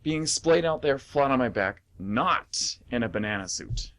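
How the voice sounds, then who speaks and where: Man acting male comedy character, exasperated, defensive, humiliated, very animated pitch, radio play. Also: unhurried. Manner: exasperated, indignant, protesting. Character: humiliated, defensive man who works as banana mascot.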